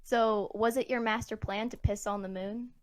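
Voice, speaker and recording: A woman's voice: a little high, fairly even intonation, moderate pace. A woman hosting a casual group Q&A, chatting informally on a recording with slight noise and clicks. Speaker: host of the Q&A. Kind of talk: casual chat. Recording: noticeable background noise, clicks.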